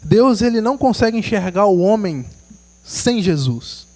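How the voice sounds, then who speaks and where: A man's voice: high voice, expressive, measured pace, darkish tone. A man preaching a sermon, earnest and exhorting, asking his listeners rhetorical questions.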